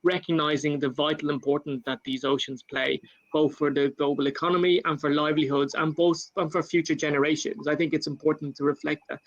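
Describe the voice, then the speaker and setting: Flat-voiced Irish man, dull lo-fi sound. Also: on the high side. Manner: measured, many fillers and restarts. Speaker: panel speaker for a national government. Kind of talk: panel discussion answer.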